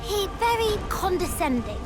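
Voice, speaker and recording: A woman voicing a small, excitable creature in a video game, talking in childlike broken grammar. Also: very high-pitched, normal speed, music in the background. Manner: childlike broken grammar, third-person self-reference. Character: small, excitable, food-loving female cartoonish creature. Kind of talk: video game cutscene acting.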